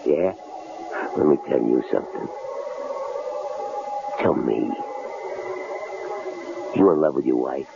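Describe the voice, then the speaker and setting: An actor in a 1970s radio drama playing a menacing killer holding captives, taunting them with coolly sardonic, drawling questions. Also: male voice, a bass voice, expressive, slow pace, creaky, pauses often, exaggerated voice. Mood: cold probing. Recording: tinny, noisy old radio broadcast recording.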